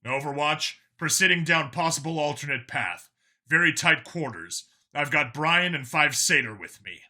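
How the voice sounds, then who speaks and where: Man playing a terse, forceful squad-leading cop. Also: on the high side, lively intonation, moderate pace. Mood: focused. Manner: terse, decisive. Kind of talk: audiobook character voice.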